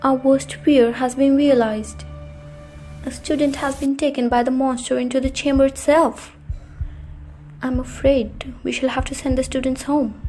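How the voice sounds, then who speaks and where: A woman playing a stern, authoritative older school professor in an audio drama, speaking formally and gravely. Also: high-pitched, level tone, measured pace, dark tone, faint music behind.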